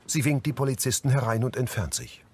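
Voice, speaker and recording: A man's voice: medium pitch, steady tone, fairly quick, darkish tone, slight background noise. A man reading scripted audio description of scenes, neutral and matter-of-fact. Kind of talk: scripted present-tense scene narration.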